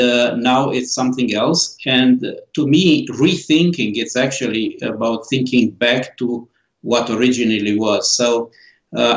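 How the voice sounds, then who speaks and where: A man's voice: mid-range voice, vocal fry, muffled recording. Romanian-accented male author, forties, hesitant interview guest, roomy sound. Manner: slow, halting, many fillers and restarts. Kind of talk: interview answers.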